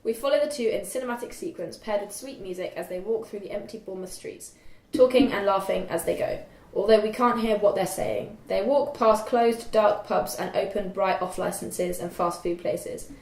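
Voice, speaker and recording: A young woman reading screen directions as narrator at a script table read, halting and stumbling a little over the text. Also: mid-range voice, a little mellow. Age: young adult. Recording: echoey room.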